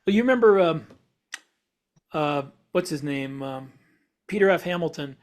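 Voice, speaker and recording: A man's voice: on the high side, animated, moderate pace. A man co-hosting a podcast, explaining speculative ideas in a casual way and piecing his thoughts together as he goes. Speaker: podcast co-host.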